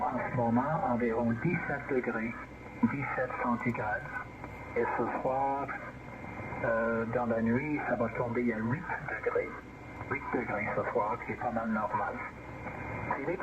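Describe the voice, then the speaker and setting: A man making an amateur radio contact over shortwave, repeating key words and figures for clarity. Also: mid-range voice. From born in England. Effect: shortwave radio transmission. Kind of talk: amateur radio contact, exchanging signal reports. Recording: muffled band-limited shortwave radio, loud static. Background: loud radio noise.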